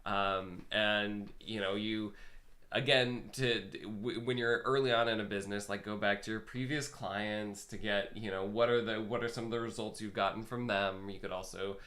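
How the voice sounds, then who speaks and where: A man's voice: lowish voice, steady tone, normal speed, bright, forceful tone. A man coaching his partner in a casual talk, encouraging, with frequent restarts and asides. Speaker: business coach.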